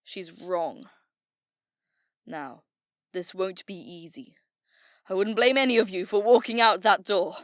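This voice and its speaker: Woman voicing a commanding leader, slow measured delivery, dry close sound. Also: mid-range voice, a bit bright, no echo, natural voice. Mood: resolute. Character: resolute woman leader rallying her team.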